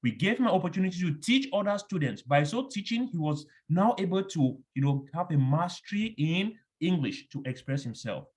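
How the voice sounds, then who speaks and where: A man's voice: fairly high pitch, lively intonation, brisk, few pauses, a little mellow. A man hosting an online orientation, steadily moderating a video call and handing out the floor.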